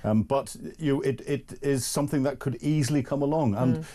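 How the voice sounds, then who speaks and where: A Northern Irish man joins a radio discussion as a guest, his voice mellow and dark. He speaks in a measured way and fills his pauses with "you know.". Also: mid-range voice. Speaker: studio guest.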